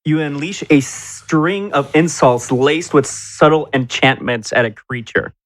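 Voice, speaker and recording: A man's voice: on the high side, unhurried. A man chatting casually and bantering in character as a player in a tabletop game.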